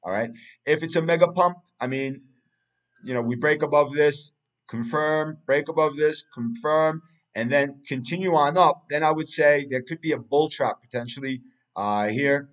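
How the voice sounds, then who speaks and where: A man's voice: fairly high pitch, normal speed, a bit bright. A man, a Turkish speaker, talking through a price chart in casual analysis, rambling with many fillers and restarts. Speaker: crypto market analyst.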